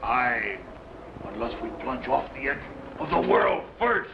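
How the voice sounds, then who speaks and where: A bitter, superstitious sailor in a vintage radio adventure. He speaks slowly and melodramatically, with a gravelly edge and big swings in pitch. The recording is dull and noisy. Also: sing-song. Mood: bitter, doubtful. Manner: melodramatic, sneering, ominous. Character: bitter, fearful, superstitious mutinous male Spanish sailor. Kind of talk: old-time radio adventure acting.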